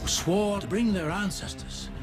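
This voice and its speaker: A man's voice: sits high, normal speed, close to an everyday voice. An actor playing a grave, scheming man in an adventure film trailer, with dramatic delivery. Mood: grave, intense. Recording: film trailer, very loud music.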